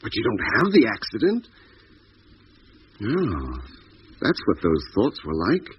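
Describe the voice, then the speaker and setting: An actor in a 1950s British radio drama playing an earnest, troubled doctor on a space expedition, speaking in a hesitant, reflective way. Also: male voice, lowish voice, huge pitch swings, unhurried. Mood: troubled, sincere. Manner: hesitant, reflective, protesting sincerely. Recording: muffled, noisy 1950s radio recording.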